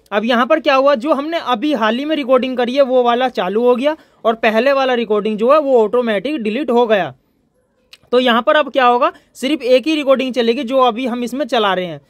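A man's voice: very high voice, fairly quick, no room sound. A man from India, presenting an informal hands-on electronics tutorial as its host.

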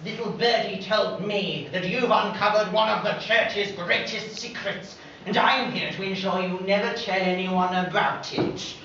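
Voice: medium pitch, fairly even intonation, measured pace, creaky, pushed and bright, muffled recording. A performer in an amateur pantomime playing a pompous, angry, scheming archbishop who declaims theatrically. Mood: scolding. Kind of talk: amateur pantomime stage acting. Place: stage in a large theatre hall. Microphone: far camera. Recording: distant camera in echoey, noisy hall.

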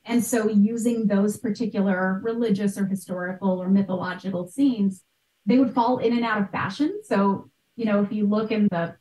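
A woman's voice: mid-range voice, fairly even intonation, normal speed, dark tone. A woman, explaining things articulately and conversationally as a guest expert on a video call. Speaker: guest expert, art history podcaster. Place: small bright room at home. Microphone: video call, at some distance. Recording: roomy, distant microphone.

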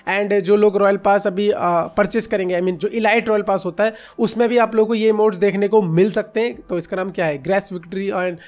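High-pitched Indian man, chatty game commentary. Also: fairly even intonation, fairly quick, hardly any pauses, darkish tone. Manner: chatty, informal, addressing viewers as friends. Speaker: gaming video host. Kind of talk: casual reaction commentary on game leaks.

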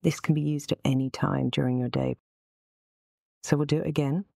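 Low, mellow woman guiding relaxation. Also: steady tone, vocal fry, dry recording, studio quality. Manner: gentle, soothing, unhurried instruction. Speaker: guided relaxation instructor. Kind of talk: guided relaxation meditation.

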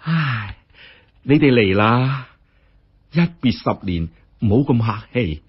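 Male storyteller as stout society lady, forties, genial, magnanimous, husky, animated, muffled recording. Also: medium pitch, slow pace, heavily acted. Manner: breezy, magnanimous. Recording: dull, muffled lo-fi audio.

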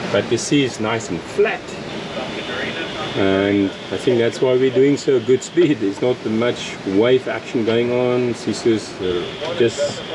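A man in his forties narrating a sailing vlog from a catamaran deck, relaxed and explaining as he goes, with Afrikaans as his first language. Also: mid-range voice, low-quality recording. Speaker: sailing vlogger. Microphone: action camera on the boat. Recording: windy outdoor camera audio. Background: wind and water noise.

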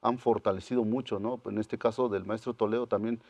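Soft-spoken young man, low voice. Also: brisk, dry recording. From Oaxaca, Mexico. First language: Mazatec. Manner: calm, searching, with many fillers. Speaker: guest artist. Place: indoor TV studio set. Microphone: lapel.

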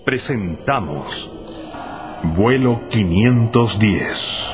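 A man's voice: lowish voice, animated, very slow. A man announcing radio dramas in a grand, scripted theatrical style. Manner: grand, declamatory. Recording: loud music under the voice. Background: loud music.

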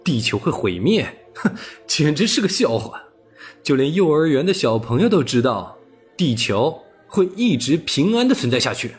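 Man acting out an agitated, high-pitched scornful scientist. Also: big pitch swings, moderate pace, breathy edge, faint music behind, full character voice. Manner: mocking, emphatic. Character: agitated, scornful male scientist shouting at press.

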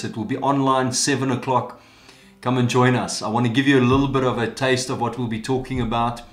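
A man in his forties, a pastor, invites the church to its services, speaking straight to the camera and inviting people in. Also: on the low side. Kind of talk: church announcement video. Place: small indoor room with plants and an orange chair. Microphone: camera framed from the chest up. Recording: echoey room with soft guitar music.

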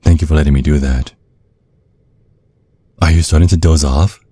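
A man playing a tender, protective vampire boyfriend in an ASMR roleplay, speaking in a soothing, coaxing, affectionate way with a husky voice. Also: rumbling low, steady tone, normal speed, dark tone, no room sound. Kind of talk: ASMR roleplay, intimate soft talk.

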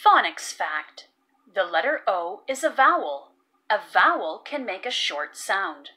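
A woman reading a children's picture book aloud and teaching phonics, expressive and deliberate, with sing-song emphasis on key words. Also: mid-range voice. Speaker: children's read-aloud narrator.

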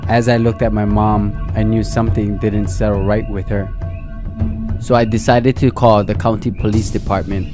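A man in his thirties from the island of Hawaii, narrating his documentary in an earnest way, his voice sometimes catching with emotion. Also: lowish voice. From island of Hawaii, USA. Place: indoor studio set, outdoor forest for interview.

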